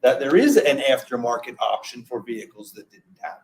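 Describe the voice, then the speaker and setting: A man's voice: medium pitch, animated, brisk, darkish tone, a little hiss or hum, poor audio. Man presenting a conversational technical webinar. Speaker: webinar presenter, product expert.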